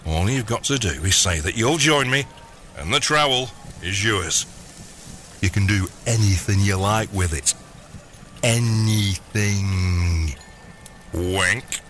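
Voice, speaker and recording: A man's voice: lowish voice, takes it slowly, long pauses, fairly bright tone, a little hiss or hum. A man playing a gleeful, grandiose megalomaniac father, wheedling theatrically in an audio comedy drama. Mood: gloating. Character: gleeful megalomaniac villain, doting scheming father.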